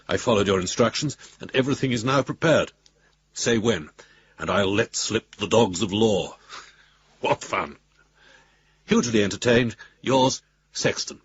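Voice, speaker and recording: An actor playing a droll, gleeful male solicitor with a husky voice, reading out his letter archly in a radio drama. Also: fairly low pitch, highs cut off, slight background noise, natural voice. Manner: droll, amused, arch.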